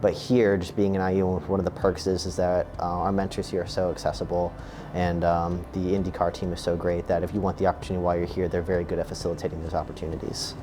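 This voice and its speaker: A male medical resident describing his mentorship in a brisk, matter-of-fact interview. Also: low voice, flat delivery. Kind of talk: interview testimony. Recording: noisy ambience behind.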